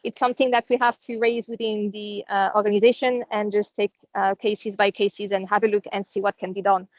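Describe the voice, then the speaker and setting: A woman's voice: mid-range voice, flat delivery, a little fast, no echo. A non-native English-speaking woman presenting on a company call, explaining things in a conversational, informal business style. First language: not English. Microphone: online conference call. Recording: dull, dry online call audio.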